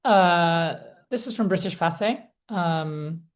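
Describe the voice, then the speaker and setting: A woman's voice: lowish voice, slow. A woman lecturing in an informal academic style, thoughtful and a little hesitant, qualifying her points as she goes. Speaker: lecturer, co-presenter.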